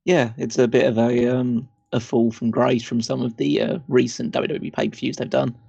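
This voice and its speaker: A man whose voice is croaky and worn from shouting, very gravelly with a husky edge. He co-hosts a casual podcast chat and hesitates with frequent ums. The sound is dry and close. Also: medium pitch, level tone, normal speed, no room sound, muffled recording. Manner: hesitant, many ums and uhs. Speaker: podcast co-host.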